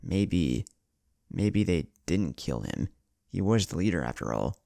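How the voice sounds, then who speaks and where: A headstrong, defiant teenage ninja boy, acted out by a male narrator in an adventure story. He talks tersely and insistently, in a deep voice with a gravelly edge. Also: sing-song, measured pace, warm tone, no room sound, studio quality, full character voice. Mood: subdued.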